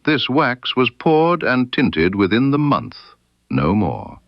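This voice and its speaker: A man voicing a keen, composed detective in an audiobook story, with precise, deliberate and quietly probing speech. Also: medium pitch, very animated, dry recording, dull audio, pitched above the performer's own voice. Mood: absorbed, analytical. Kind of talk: audiobook character dialogue.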